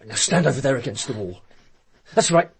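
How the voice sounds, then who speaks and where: An actor playing a bitter, contemptuous murderer holding hostages, with a British accent and a taunting manner, in a radio drama. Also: male voice, mid-range voice, animated, fairly quick, gravelly. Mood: smug menace. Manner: sneering, taunting.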